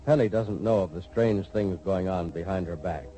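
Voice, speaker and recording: A man announcing and narrating a 1940s radio serial in a scripted, dramatic, measured manner. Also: low voice, warm tone, soft background music, poor audio.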